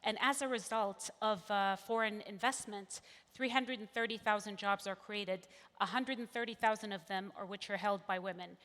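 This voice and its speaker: A woman's voice: medium pitch, steady tone, normal speed, few pauses, a bit bright. A woman from Saudi Arabia, a deputy minister speaking confidently and upbeat on a panel, with many fillers. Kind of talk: panel talk. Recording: long hall reverb.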